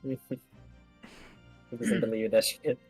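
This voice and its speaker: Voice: medium pitch, lively intonation, slow pace, darkish tone, slightly rough, faint music behind. A person reacting casually alongside friends during a livestreamed game. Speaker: player in a livestream. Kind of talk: brief casual reactions while gaming.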